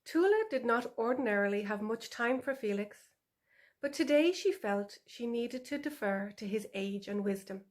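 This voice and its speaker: A woman's voice: a little high, moderate pace. A woman author reading her animal story for older children as scripted narration.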